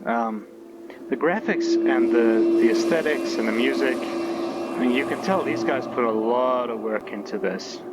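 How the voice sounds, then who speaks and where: A man's voice: mid-range voice, unhurried, very noisy. A man giving casual, off-the-cuff commentary as he plays and reviews a game. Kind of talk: casual let's play commentary.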